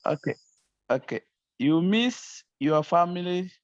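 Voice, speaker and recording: Guy student on a muffled video call, slow, short halting answers. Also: sits high, animated, no room sound. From South Africa.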